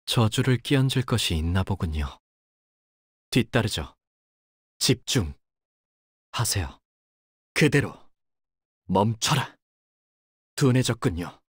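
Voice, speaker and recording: A male actor playing a solemn, polite young curse wielder in a video game, speaking in a measured, subdued, formal way. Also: on the low side, husky, no echo, studio quality, heavily acted. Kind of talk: video game character voice lines.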